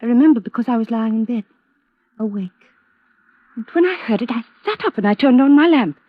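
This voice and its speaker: Distraught young stepdaughter, bitter, emotional, lively pitch swings, old radio play, dull dry recording. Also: female voice, brisk, no room sound. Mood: distressed recounting. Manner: intense, overwrought, petulant. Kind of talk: 1940s-50s radio drama acting.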